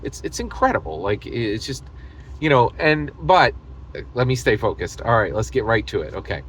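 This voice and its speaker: An American man hosting a casual, opinionated gaming monologue, speaking emphatically and repetitively, often halting mid-thought and leaning on hyperbole. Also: mid-range voice, sing-song, fairly bright tone, a little hiss or hum. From United States. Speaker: host of a tabletop gaming video channel.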